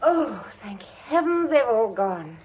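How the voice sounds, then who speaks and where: A woman's voice: a little high, big pitch swings, slow, breathy edge, exaggerated voice. A woman acting a frank young upper-class British granddaughter in a radio drama, speaking in a direct, clipped way. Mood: brisk. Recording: muffled lo-fi radio recording with noise.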